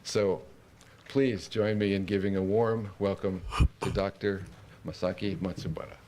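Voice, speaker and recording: Deep-voiced man introducing a speaker. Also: steady tone, a little slow, a little mellow, slight background noise. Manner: reading a prepared introduction with small hesitations. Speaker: event host introducing the speaker. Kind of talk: formal introduction.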